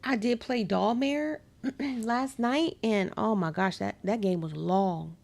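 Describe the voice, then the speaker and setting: A hoarse woman streamer commentating a story game, her voice sitting a little high. She reacts excitably, laughs often and chats straight to her viewers. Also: slow pace. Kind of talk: let's play commentary.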